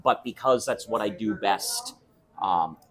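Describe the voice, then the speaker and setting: A young man with a somewhat low speaking voice chats about his work as an opera singer. He talks in a relaxed, thoughtful way, restarting sentences and laughing now and then. He is being interviewed at a restaurant. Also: a little slow. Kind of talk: casual interview chat.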